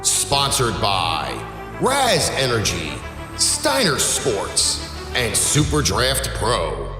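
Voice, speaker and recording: A man plays hype announcer for a sports lineup, his pitch swinging wildly as he stretches out each phrase very slowly. His dramatic delivery rings in long reverb. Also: huge pitch swings. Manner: drawn-out dramatic delivery with shouted names. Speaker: show announcer. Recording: roomy hall reverb over loud music. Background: music.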